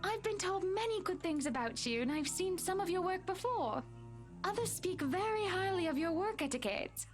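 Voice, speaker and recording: Visual novel role: motherly, nosy British married lady, high voice, genteel and chatty, music behind. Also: animated, normal speed. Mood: polite curiosity. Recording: game dialogue over music.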